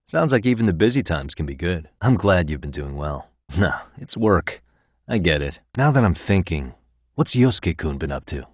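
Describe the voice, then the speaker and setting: A man's voice: low voice, big pitch swings, normal speed, no room sound, full character voice. An actor in his thirties with an American accent playing an easygoing, wry private detective in casual video game dialogue. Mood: friendly, wry. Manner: casual, dry wry humour.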